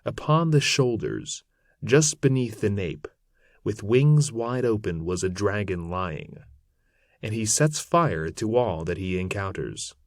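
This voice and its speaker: A man narrating an old epic poem aloud in a solemn, measured, theatrical manner with archaic phrasing. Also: on the low side, lively intonation, dry recording, clean studio sound. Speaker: narrator reading poetry aloud. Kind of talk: dramatic recitation of an epic poem in archaic English.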